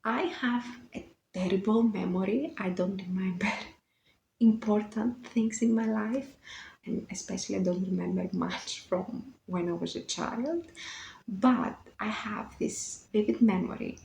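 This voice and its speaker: A woman's voice: mid-range voice, a little slow, vocal fry, darkish tone, reverberant, a little hiss or hum, low-quality recording. A Greek woman in her twenties with a Greek accent, speaking English as a second language, chatting casually to camera about books, a bit self-conscious and laughing often. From Greece. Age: 20s. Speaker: book vlogger.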